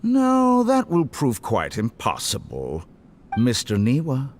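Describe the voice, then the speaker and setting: A man playing a smooth, sinister, arrogant scientist villain in a video game, with a mocking theatrical drawl. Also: mid-range voice, wildly swooping pitch, slow, exaggerated voice. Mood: mocking, menacing.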